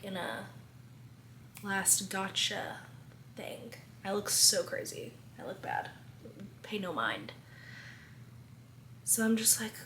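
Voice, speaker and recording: A young woman chatting casually in a reading vlog, expressive and full of "like", losing her voice. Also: mid-range voice, slight background noise. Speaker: book vlogger.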